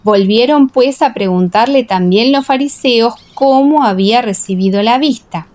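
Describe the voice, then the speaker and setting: Woman narrating scripture in measured tones. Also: on the high side, a little mellow. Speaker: audiobook narrator.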